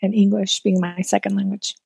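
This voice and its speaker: A woman's voice: mid-range voice, flat delivery, a little fast, creaky, a little mellow, breathy edge, no echo. Reflective Spanish-native woman guest, dry sound. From South Texas. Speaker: guest, coach and speaker. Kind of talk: casual interview chat.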